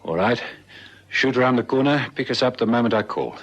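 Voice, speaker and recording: A South African man playing a dutiful, wry detective sergeant in a radio drama, brisk and procedural. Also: mid-range voice, lively intonation, muffled recording, natural voice. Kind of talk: old radio drama acting. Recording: vintage radio recording, faint noise.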